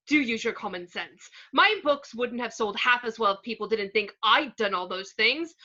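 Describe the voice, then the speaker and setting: A woman playing a vain, cowardly male wizard professor in a script read, pompous, halting and blustering. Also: on the high side, pushed and bright, dull audio, exaggerated voice. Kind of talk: amateur table read of a film script.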